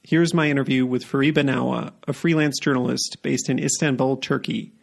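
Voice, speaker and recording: A man's voice: medium pitch, steady tone, a little fast, vocal fry. A man hosting a podcast, reading a scripted introduction and then asking questions in an earnest, carefully paced way. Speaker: podcast host.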